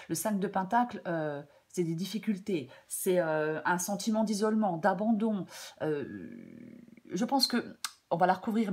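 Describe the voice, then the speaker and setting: A woman with a French accent giving a tarot reading in a casual, hesitant way, slightly hoarse, with many fillers and repeated phrases. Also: on the low side, a little mellow. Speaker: tarot reader. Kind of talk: casual spoken tarot guidance.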